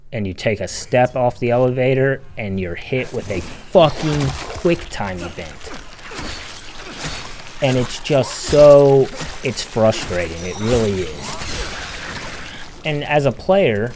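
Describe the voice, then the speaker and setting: A man's voice: mid-range voice, lively intonation, takes it slowly, background noise. A man giving opinionated video essay commentary in a blunt, casual, sweary manner. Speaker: video game critic, channel narrator.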